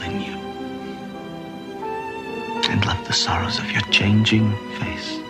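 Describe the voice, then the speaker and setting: An actor playing a tender, wistful man reciting a love poem in a TV drama, halting as he goes. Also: on the low side, level tone. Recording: loud music over TV drama soundtrack. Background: loud music.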